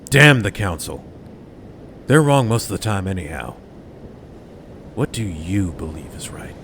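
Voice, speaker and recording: A very low-pitched old man played by a male actor, a wise and defiant Jedi mentor who counsels bluntly. It is audio drama acting, with a faint noisy rumble behind the voice. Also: very animated, measured pace, a bit bright, exaggerated voice. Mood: blunt, urging.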